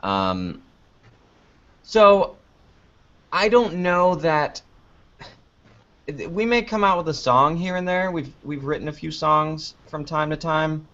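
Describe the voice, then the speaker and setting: A man's voice: on the high side, lively intonation, takes it slowly, pauses often, a bit bright. A man chatting casually as an interview guest, rambling a little as he thinks aloud. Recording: dull lo-fi online call audio.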